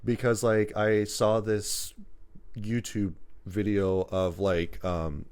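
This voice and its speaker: A man's voice: lowish voice, flat delivery, slow pace, darkish tone, clear, resonant tone. A man chatting casually as a podcast co-host, with a rambling, loosely strung way of explaining things. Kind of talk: casual chat about video game movies.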